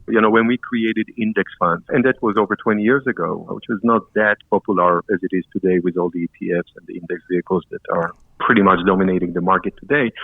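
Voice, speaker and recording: A man's voice: on the low side, no room sound. A man in his fifties from Israel with an Israeli accent, talking upbeat and loosely as a guest, often dropping the subject of his sentences. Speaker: guest entrepreneur. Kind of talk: casual interview talk. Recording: tinny phone-line audio, dry.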